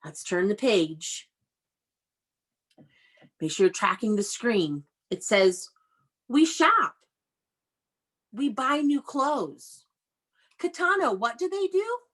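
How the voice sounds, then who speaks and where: A woman's voice: on the high side, big pitch swings, slow pace, pauses often, fairly bright tone. A woman teacher reading aloud to young children in an interactive video-call lesson, instructing and prompting with questions and repetition. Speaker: elementary school teacher.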